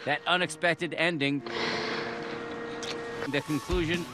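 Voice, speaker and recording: A man's voice: fairly high pitch, steady tone, slow. An American man reading scripted TV news narration in a dramatic headline style. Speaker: TV news reporter. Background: clear music bed.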